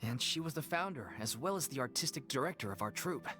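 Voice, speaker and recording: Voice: mid-range voice, expressive, quick pace, over music, lightly acted. A voice actor playing an anxious, apologetic member of a theater troupe in a game.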